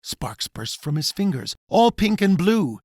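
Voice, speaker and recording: A man narrates a rhyming picture book as its author, dramatically and unhurriedly. His voice swings widely and has a husky grain, recorded close and dry. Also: sits high, a little slow, no room sound. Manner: dramatic, expressive storytelling. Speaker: author reading own children's book.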